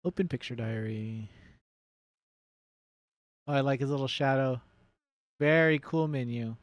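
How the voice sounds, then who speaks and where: Male gaming streamer, medium pitch, nerdy and casual, rambling live commentary with loose swearing, very dry sound. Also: measured pace, no echo. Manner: self-described nerdy enthusiast. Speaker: game streamer hosting a let's play.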